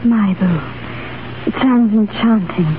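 A woman's voice: mid-range voice, takes it slowly, slight background noise, natural voice. Romantic ingenue: actress as genteel, adventurous young lady, refined period radio delivery, narrow old broadcast sound. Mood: playful, intrigued. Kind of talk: 1930s radio drama acting. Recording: vintage 1930s radio broadcast, band-limited, orchestral music.

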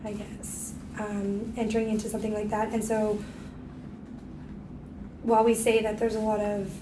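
A woman's voice: medium pitch, monotone, normal speed. A woman from Canada giving hesitant personal remarks as a participant in a group discussion. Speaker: meeting participant. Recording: distant mic, echoey noisy room.

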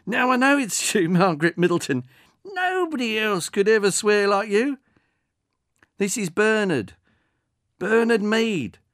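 Storyteller doing a cheery, coaxing older call-centre man; raised pitch, dry close mic. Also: very animated, normal speed, gravelly, dry recording. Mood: delighted. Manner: professional good cheer, coaxing. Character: old male drone delivery worker, falsely cheerful.

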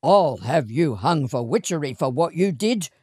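A woman voicing a stern, threatening father who owns an outback sheep station, with forceful delivery. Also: sits low, expressive, moderate pace, husky, no room sound, clean studio sound.

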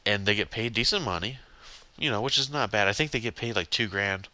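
A man's voice: fairly low pitch, a little fast, sharp tone, dry recording. Congested young man ranting on a podcast. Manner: blunt, swearing, rambling. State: congested, stuffy nose. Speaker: podcast host. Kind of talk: casual solo podcast rant.

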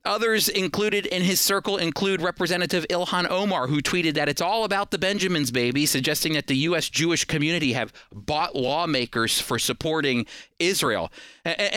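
A man's voice: fairly high pitch, pushed and bright, no echo, studio quality. An American man hosting a radio program, delivering prepared commentary in a talk show style.

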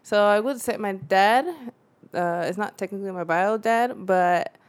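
A woman's voice: mid-range voice, measured pace, clean tone. A young woman from Central California, a guest in a casual conversation, who laughs often and acts out the voices she quotes.

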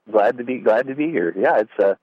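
A man's voice: mid-range voice, dry recording, muffled recording. A man calling in to a radio show, a former host himself, friendly and a little nervous.

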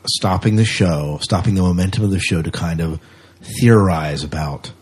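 Guy podcast host, kinda husky low voice, chatty and off the cuff, says stuff twice. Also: moderate pace, darkish tone. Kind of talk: casual unscripted podcast chat.

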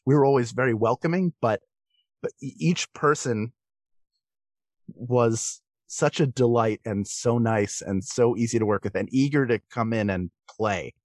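A man chats casually as a guest in an interview. His pitch sits in the middle of the male range, and the sound is very dry, with no room around it. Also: unhurried, a little mellow, no room sound. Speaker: podcast guest, performer.

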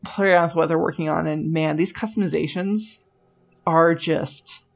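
A woman's voice: low-pitched, fairly even intonation, normal speed, gravelly. A woman co-hosting a casual gaming podcast, enthusiastic and full of "like" fillers. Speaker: podcast co-host.

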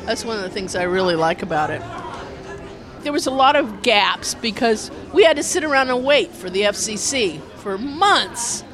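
Woman interviewed over loud music. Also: medium pitch, animated, moderate pace, a faint crowd. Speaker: radio station member being interviewed. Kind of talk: casual interview talk.

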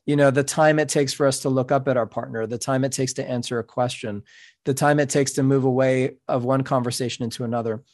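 Earnest, measured man in an interview. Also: mid-range voice, fairly even intonation, a little mellow. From New York City. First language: Spanish. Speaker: podcast guest, author. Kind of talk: reflective interview talk.

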